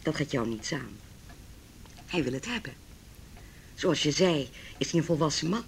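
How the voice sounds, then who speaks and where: A woman's voice: low voice, lively intonation, moderate pace, creaky, slight background noise. An actress playing a cold, wealthy middle-aged mother in a Dutch radio play, speaking in a formal, condescending, cutting way. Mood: scornful, firm. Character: haughty, cold, wealthy mother opposing her son's marriage.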